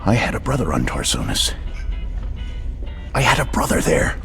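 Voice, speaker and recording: An actor playing a dazed, grief-stricken field reporter in a game cinematic, speaking haltingly in a creaky voice. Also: male voice, on the low side, sing-song, over music. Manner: halting, slow.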